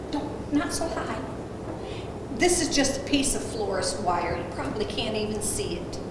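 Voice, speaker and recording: A woman demonstrating a holiday craft in a casual, plain-spoken, instructive way at a senior center. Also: fairly high pitch. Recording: echoey far-off room with loud noise.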